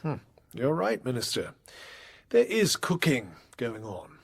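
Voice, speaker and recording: An actor playing an authoritative, secretive gentleman investigator in a period audio drama, husky, measured and formal. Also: medium pitch, wildly swooping pitch, exaggerated voice. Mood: hushed, commanding.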